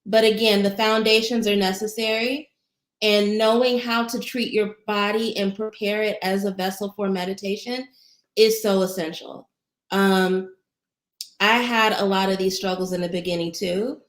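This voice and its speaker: A woman with a soothing, gentle voice speaks to her viewers as a meditation teacher. She is encouraging and unhurried, pitched in a middle range. Her voice rings a little in a roomy space. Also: fairly even intonation. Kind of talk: casual livestream Q&A. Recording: roomy echo.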